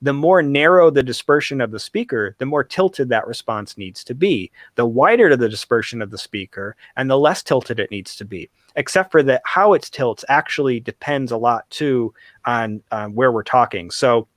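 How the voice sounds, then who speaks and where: A man's voice: medium pitch, expressive, moderate pace, no echo. A man giving detailed technical explanations in conversation as an expert guest, carefully qualifying his points.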